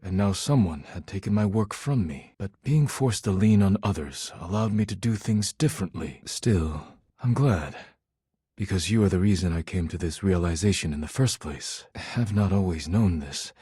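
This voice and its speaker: An actor playing a formal, earnest and proud poetry lover in a video game, speaking in a measured, solemn way. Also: male voice, sits low, lively intonation, warm tone, slightly rough, dry recording, clean studio sound, heavily acted. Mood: earnest, reflective. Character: formal, earnest, proud male poetry-loving dish character. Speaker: voice actor in a dating simulator game. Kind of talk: scripted video game character dialogue.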